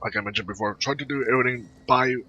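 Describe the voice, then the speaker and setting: A man giving casual, rambling gameplay commentary as a video host, speaking in halting phrases. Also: mid-range voice, bright, forceful tone. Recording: muffled home mic with faint noise.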